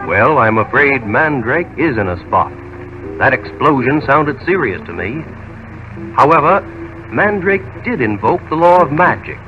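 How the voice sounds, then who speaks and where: A male radio announcer wrapping up a drama episode in formal, measured tones. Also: mid-range voice, big pitch swings. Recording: dull old radio recording over music.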